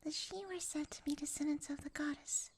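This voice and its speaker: Woman voicing a tiny, very high-pitched flustered pixie. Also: steady tone, fairly quick, in a whisper. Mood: relieved, explaining. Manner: stammering, flustered, indignant. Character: small clumsy pixie, flustered, proud and wary of humans. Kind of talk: ASMR fantasy audio roleplay.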